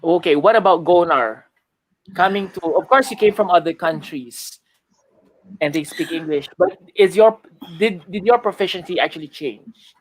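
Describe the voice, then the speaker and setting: A man's voice: high voice, normal speed, rough recording. A man hosting a panel discussion, asking follow-up questions in a conversational way. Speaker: host.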